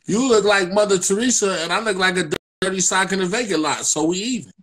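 A man's voice: high-pitched, fast talker, a bit bright. A man in his forties co-hosting a casual live video chat from home, joking and teasing in slangy banter, on a laptop mic. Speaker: co-host. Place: indoors at home. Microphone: laptop camera on video call.

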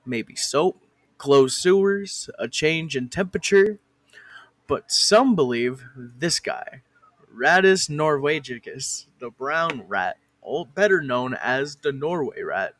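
A man reads a mock-educational history in a slow, deliberate way, with his voice sitting a bit high. He often stops to swear or bicker with an unseen director. The recording is close and dry. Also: lively intonation, a bit bright, dry recording, low-quality recording. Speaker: narrator. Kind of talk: comic fan parody narration.

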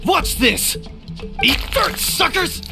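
An actor playing a mocking, whining, cowardly young thief in a video game. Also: male voice, very high voice, big pitch swings, takes it slowly, vocal fry, over music, exaggerated voice. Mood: sneering, taunting.

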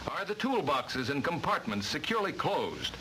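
A man's voice: fairly high pitch, sing-song, moderate pace, pushed and bright, slight background noise, poor audio. A man reading formal, precise instructional narration for a vintage training film, on a worn old soundtrack.

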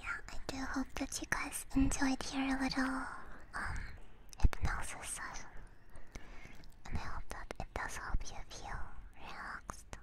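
A woman ASMR streamer speaking in a gentle, soothing, breathy whisper close to a binaural microphone, chatting unhurriedly to her viewers. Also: sits high, monotone, unhurried, poor audio. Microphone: close binaural ear microphone.

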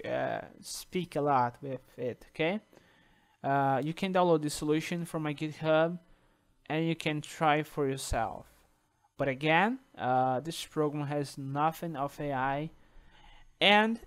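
A man whose first language is Portuguese, talking through a coding tutorial over a screen recording, halting with restarts and checking in with "okay?". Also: on the high side, clean tone. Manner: halting, many restarts and "uh", tags sentences with "okay?". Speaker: programming tutor.

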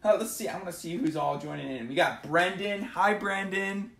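A man in his twenties hosting a children's storytime, reading aloud and chatting informally in an animated way, recorded in a small room at home. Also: high voice, moderate pace, vocal fry. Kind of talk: casual live storytime, reading aloud to kids. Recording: roomy home phone recording.

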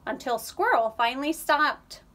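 A woman in her thirties reading a children's picture book aloud, slow and expressive, recorded on a webcam in a small room. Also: sits high. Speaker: read-aloud reader. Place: indoor room, under a sloped ceiling.

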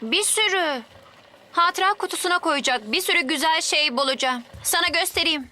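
A woman's voice: very high voice, expressive, normal speed, pushed and bright, faint music behind, exaggerated voice. Tim, glum little boy. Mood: earnest. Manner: earnest, a bit glum. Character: sad little boy, Tim, about four.

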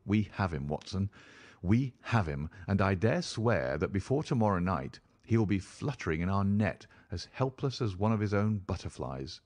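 A commanding storybook detective, acted out by a male reader, relishing the hunt. He is precise and assured, with a theatrical delivery that swings widely in pitch and a dry streak of amusement. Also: sing-song, normal speed, darkish tone. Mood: triumphant. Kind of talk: audiobook character voice.

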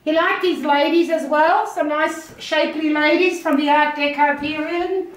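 A woman's voice: sits high, fairly even intonation, normal speed. An older Australian woman, an auctioneer, chatting casually as she points out items while walking through a house. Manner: chatty, pointing things out, laughs easily. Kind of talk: casual walkthrough chat. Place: large house, indoors. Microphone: handheld phone camera. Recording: echoey rooms.

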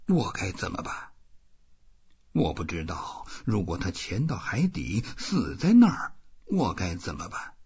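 A man voicing a weary, determined old fisherman who thinks aloud in slow, brooding storybook self-talk. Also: mid-range voice, slightly rough, lo-fi sound, full character voice. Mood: determined, troubled.

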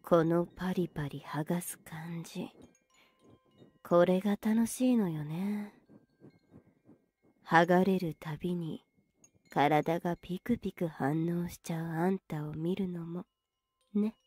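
A woman playing a young, teasing older sister in an anime ASMR roleplay, scolding yet soft and intimate, close to the microphone. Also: fairly low pitch, slow, long pauses, slightly rough, no room sound. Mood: soft, absorbed. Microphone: very close, dry microphone.

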